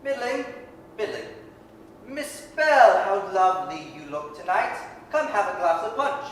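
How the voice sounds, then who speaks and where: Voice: medium pitch, expressive, natural voice. Actor doing jolly old merchant dad, big theatrical radio play delivery, takes his time, super echoey. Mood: genial. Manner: hearty, theatrical, drawn-out delivery. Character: jovial, effusive older merchant, proud father and party host. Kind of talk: 1930s radio acting. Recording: echoey hall, distant mic, faint noise.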